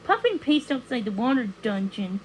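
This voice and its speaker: High-voiced woman reading a storybook. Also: big pitch swings, moderate pace, a little hiss or hum, poor audio. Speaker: story reader. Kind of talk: scripted storybook narration.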